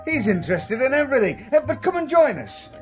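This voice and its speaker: An actor playing a jovial, sociable English gentleman with a hearty, urbane manner in an audio thriller. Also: extremely high pitch, very animated, a little fast, music in the background. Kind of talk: spy thriller audio drama acting.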